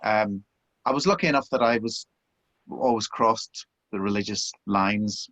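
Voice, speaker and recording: A man's voice: fairly low pitch, a little slow, a bit bright, no echo. A middle-aged man from Belfast with a Belfast accent, an author talking chattily about his past in an interview. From Northern Ireland. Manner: chatty and self-deprecating, with frequent restarts. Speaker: author and former broadcast journalist. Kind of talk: relaxed interview chat.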